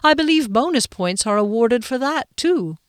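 A pompous gentleman schemer, put on by a woman reader, full of old-fashioned airs and brisk exasperation. His voice rides up and down with lively emphasis, heard dry and close. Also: fairly high pitch, no echo, pitched above the performer's own voice, close to an everyday voice. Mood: scheming.

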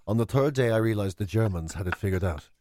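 A grave, long-suffering male diarist, acted out by a comic man in a mock-epic comedy bit. The voice sinks low and delivers each line with measured melodrama, dry and close. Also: a little mellow, dry recording, natural voice. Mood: solemn, mournful. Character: solemn, long-suffering holiday diarist writing to his love. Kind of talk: mock-epic diary reading.

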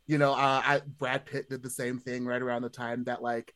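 A man's voice: mid-range voice, flat delivery, normal speed, fairly bright tone, dry recording. A man in casual podcast conversation, chatty and hesitant, padding his thoughts with lots of filler words. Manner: chatty, hesitant, many "like" hedges. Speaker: podcast panelist.

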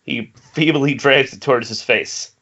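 A man's voice: mid-range voice, unhurried, bright, forceful tone, slightly rough. A man reading screenplay stage directions as scripted narration over a dull internet call.

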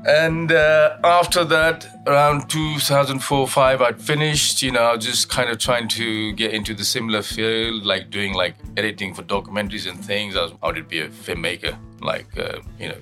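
Rambling bright-voiced Nepali man, low-pitched. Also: steady tone, moderate pace, few pauses, faint music behind. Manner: rambling, many fillers and restarts. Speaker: guest, restaurant owner and musician. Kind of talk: casual interview chat. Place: London.

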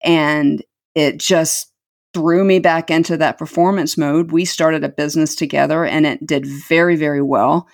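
Middle-aged woman, bit of vocal fry, earnest, keeps repeating words for emphasis. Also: low-pitched, fairly even intonation, unhurried. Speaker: interview guest. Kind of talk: personal life story in an interview.

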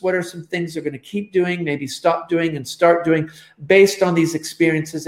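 A man's voice: a little high, darkish tone. Man hosting, in an echoey room. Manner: welcoming, guiding questions. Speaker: podcast host. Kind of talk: podcast interview.

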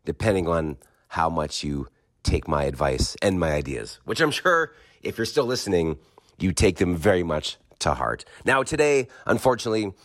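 Hoarse low-voiced man hosting a segment. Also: very animated, a bit bright. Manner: measured, with frequent pauses and fillers. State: hoarse, losing his voice from a cold. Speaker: podcast segment host. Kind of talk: monologue commentary, partly reading a statement.

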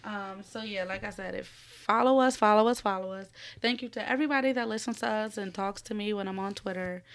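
A woman with a raspy voice from a cold, co-hosting a casual podcast from a room at home, rambling, laughing and swearing freely. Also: medium pitch, brisk. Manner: profane, giggly, rambling. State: recovering from a cold. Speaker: podcast co-host. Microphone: studio microphone.

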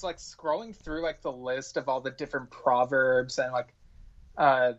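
A man co-hosting a podcast as a guest, explaining things in casual, hesitant chat full of fillers. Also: mid-range voice. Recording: slightly dull, lo-fi audio.

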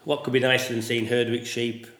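A man who is a sheep farmer, talking casually in a loud voice and often ending with tag questions. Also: mid-range voice, level tone, fairly quick, echoey room. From England. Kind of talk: casual conversation.

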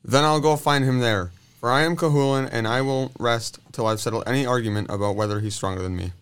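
A man acting a proud, combative giant challenger in a radio drama, brisk, booming and boastful. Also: a bit bright, clean tone, natural voice.

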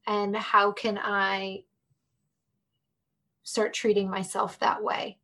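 A woman speaking as a podcast interview guest, reflective and warm in tone, laughing often and addressing the listeners directly. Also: medium pitch.

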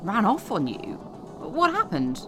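An actress playing a wealthy female media star who flatters breezily, with music underneath. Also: on the high side, measured pace. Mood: flattering. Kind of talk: audio drama acting.

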